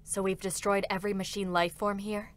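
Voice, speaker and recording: A woman's voice: medium pitch, flat delivery, a little fast, slight background noise, natural voice. A woman voicing a stoic female combat android in a dramatic reading, formal, serious and restrained, with sorrow held back. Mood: curt, composed.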